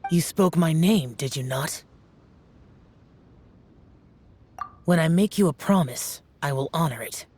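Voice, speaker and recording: A woman voicing a solemn, aloof young male immortal guardian with terse, formal, deliberate speech in a game cutscene. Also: low voice, animated, darkish tone, breathy edge. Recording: music behind the voice.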